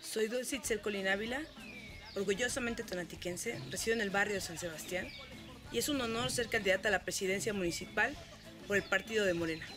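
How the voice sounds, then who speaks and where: A woman's voice: medium pitch, fairly quick. A young woman running for mayor, speaking earnestly and proudly to camera outdoors in a scripted campaign message. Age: young adult. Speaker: mayoral candidate. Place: outdoors among green plants. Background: campaign music.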